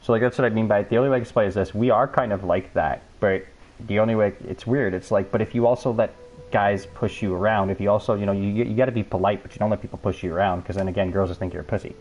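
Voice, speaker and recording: A man with a high-pitched voice, talking very fast and swearing freely as he chats during a live game stream. He stumbles and restarts his sentences, pressing his points hard. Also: fairly even intonation, darkish tone, poor audio. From Canada. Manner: profane, emphatic, often restarting sentences. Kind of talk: rambling voice chat.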